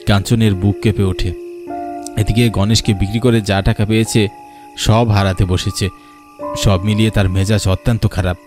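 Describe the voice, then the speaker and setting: A man's voice: fairly low pitch, flat delivery, normal speed. A man narrating a scripted horror cartoon story. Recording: faint background music. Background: faint music.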